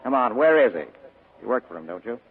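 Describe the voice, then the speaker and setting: An actor playing a tough, wisecracking private detective in 1940s radio style, clipped and insistent. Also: male voice, mid-range voice, very animated, fast, slightly rough. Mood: tough, threatening. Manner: clipped, hard-boiled, insistent. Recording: muffled old radio broadcast recording.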